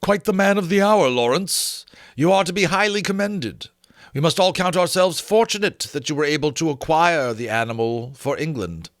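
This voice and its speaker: A man's voice: on the high side, animated, normal speed, fairly bright tone, no room sound, clean studio sound, voice pushed up. Pompous lord acted out by a man, swooping grandly. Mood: condescending. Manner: pompous, condescending. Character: condescending English lord, pompous society gentleman. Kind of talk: dramatic audiobook reading of a period novel.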